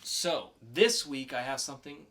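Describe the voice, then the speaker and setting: A man's voice: mid-range voice, lively intonation, moderate pace, pushed and bright. A man co-hosting a podcast in casual banter, with a playful game-show announcer flair and some swearing. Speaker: podcast co-host.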